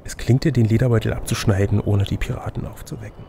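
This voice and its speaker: A man's voice: lowish voice, fairly even intonation, fast, vocal fry, warm tone, a little hiss or hum. A man reading out an adventure gamebook as game master, slipping between narration and playful, teasing casual banter.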